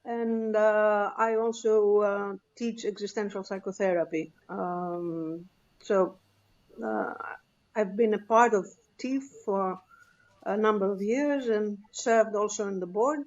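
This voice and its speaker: A woman, a clinical psychologist speaking on a panel in a measured, thoughtful way with many hesitations. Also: medium pitch, steady tone, muffled recording. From Greece. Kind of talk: panel discussion.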